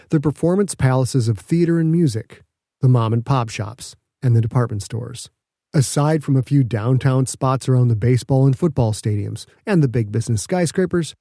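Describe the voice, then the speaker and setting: A man's voice: mid-range voice, dark tone, no echo. A man reading scripted fiction as an audiobook narrator in a studio. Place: dry studio.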